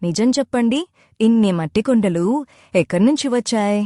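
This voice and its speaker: A woman voicing a kind, soft-hearted young village woman in a cartoon story, polite and soothing. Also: mid-range voice, animated, brisk, no echo, studio quality, natural voice. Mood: curious. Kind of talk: cartoon moral story dialogue.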